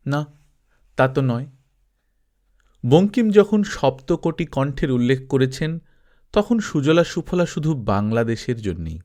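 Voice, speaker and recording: A man narrates literary travel writing in a medium-pitched voice. His delivery is expressive and theatrical, shaped like practised audiobook storytelling.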